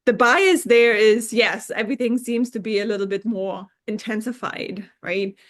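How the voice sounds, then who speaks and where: A woman's voice: a little high, moderate pace. A woman from Germany, a scientist guest in a podcast interview, explaining research thoughtfully with hedges and restarts.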